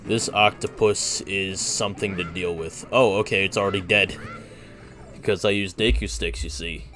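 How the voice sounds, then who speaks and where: A young man casually chatting while streaming a video game, relaxed and easygoing. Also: deep voice, normal speed, soft background music. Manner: relaxed, talks while playing, fillers and restarts. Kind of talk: casual gameplay chat.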